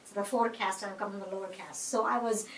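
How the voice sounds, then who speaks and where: A woman's voice: mid-range voice, steady tone, quick pace, slight background noise. A middle-aged woman from India with an Indian accent, speaking in an interview in a reflective, earnest way, with many fillers and restarts. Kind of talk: conversational interview. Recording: echoey room, mic at a distance.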